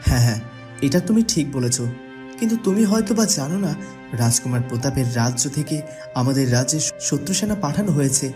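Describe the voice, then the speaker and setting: A man's voice: medium pitch, animated, quick pace, over music, natural voice. A man playing a kindly young prince in a fairy-tale cartoon, earnest and full of questions. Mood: earnest, reassuring. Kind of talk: Bengali cartoon voice acting.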